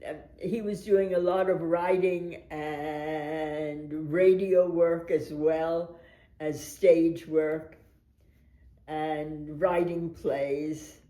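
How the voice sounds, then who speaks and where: Older woman telling stories slowly, roomy echo. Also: sits low. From United States. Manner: animated storyteller who laughs often. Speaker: veteran stage actress. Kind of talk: reminiscing documentary interview.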